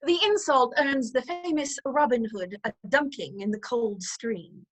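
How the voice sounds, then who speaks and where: A woman's voice: a little high, a little slow, low-quality recording. A woman narrating a scripted radio play in an arch, theatrical storytelling manner. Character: animated storytelling narrator of an outlaw adventure.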